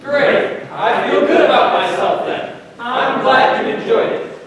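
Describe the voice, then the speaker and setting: A teenage male student acting an exasperated son who fishes for criticism in a high school readers theater piece. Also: measured pace, recorded from a distance. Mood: weary, wounded. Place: large hall, on stage before an audience. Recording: roomy echoing hall from afar, audience noise.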